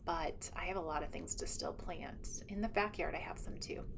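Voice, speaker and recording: A woman's voice: fairly low pitch, moderate pace, background noise. A woman giving a casual, enthusiastic garden tour outdoors, rambling and thinking aloud as a vlogger does. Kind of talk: casual chatty walk-around narration.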